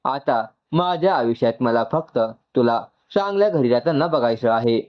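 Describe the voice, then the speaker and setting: A man's voice: medium pitch, expressive, moderate pace, lo-fi sound, lightly acted. A man voicing a dejected, tender father in his fifties in an audio storybook.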